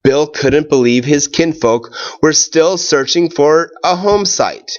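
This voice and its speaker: A man reading a children's tall tale aloud, with expressive, deliberate phrasing, drawn-out words and pauses. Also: fairly high pitch, dull audio.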